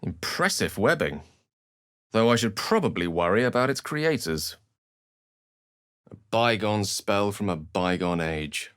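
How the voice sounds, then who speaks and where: A man's voice: lowish voice, animated, measured pace, long pauses, a bit bright, clean tone, no echo, studio quality. A man playing a refined, erudite wizard in a fantasy video game, speaking in an articulate, theatrical storyteller's manner. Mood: musing, measured. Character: refined, erudite male human wizard, eloquent and wry.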